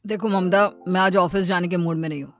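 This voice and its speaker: A woman's voice: lowish voice, fairly even intonation, fast talker, faint music behind, pitched below the performer's own voice, lightly acted. A woman voicing a lazy, irritable husband in a story, grumbling and complaining.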